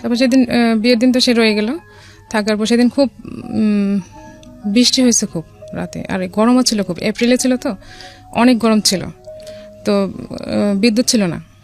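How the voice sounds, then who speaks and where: A woman from Bangladesh with a Bangladeshi accent, telling a personal story as a radio guest in a casual, somewhat halting way. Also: a little high, steady tone, a little mellow. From Dhaka, Bangladesh. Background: music.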